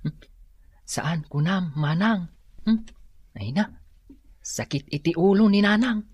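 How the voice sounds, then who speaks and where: A woman's voice: fairly low pitch, lively intonation, slow pace, darkish tone, breathy edge, exaggerated voice. A woman playing a chatty, reassuring younger sister and daughter in an Ilocano radio drama. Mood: evasive.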